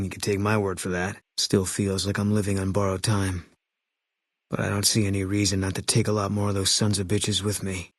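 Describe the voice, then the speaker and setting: An actor voicing a grim, haunted ex-soldier sniper in a video game, talking in terse, clipped lines with a flat, brooding delivery. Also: male voice, sits low, husky, dry recording, studio quality. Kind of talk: video game character dialogue.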